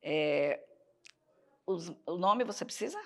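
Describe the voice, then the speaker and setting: Older brazilian lady, northeastern accent, bit of fry, remembering family stuff, stops and restarts. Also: lowish voice, expressive, a bit bright. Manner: reminiscing, with pauses and restarts. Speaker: interviewee. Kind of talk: oral history interview.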